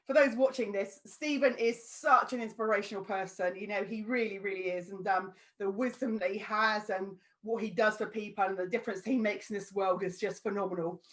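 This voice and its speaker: A woman's voice: mid-range voice, steady tone, brisk, hardly any pauses. A woman from the UK hosting an interview, effusive in her praise and leaning on fillers like um and you know. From United Kingdom. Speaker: host.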